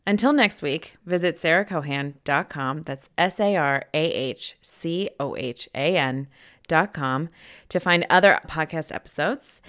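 Bubbly lady podcast host, lower voice, super bright and crisp. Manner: gushing, upbeat, says "so good" often. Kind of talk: enthusiastic podcast interview.

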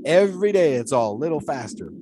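A man's voice: fairly high pitch, sing-song, fast talker, noisy background. A man playing an eccentric, devout 1920s pole-sitter in an audio drama, musing in folksy, old-fashioned storytelling phrases. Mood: reflective, contented.

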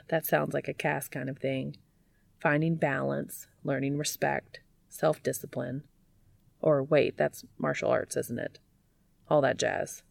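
Low, creaky woman narrating a story. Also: darkish tone, dry recording. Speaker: audiobook reader of fan fiction. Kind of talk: scripted narration of a story, expressive.

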